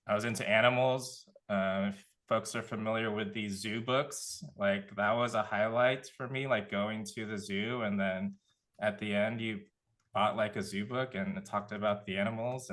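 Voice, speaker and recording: A man with a lowish, bright and forceful voice talks about his path into his field. He speaks casually, pausing with frequent ums and uhs as he thinks aloud. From Contra Costa County, California. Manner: relaxed, many fillers, thinking aloud. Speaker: panelist, nonprofit director. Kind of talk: casual career talk.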